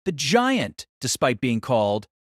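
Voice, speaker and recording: A man's voice: mid-range voice, huge pitch swings, a little slow, no room sound, studio quality. A man reading scripted dramatic story narration as the narrator of an audio drama.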